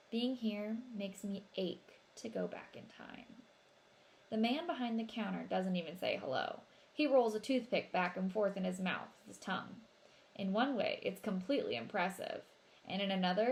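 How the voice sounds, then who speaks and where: A woman narrating a children's novel as an expressive read-aloud. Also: mid-range voice, gravelly.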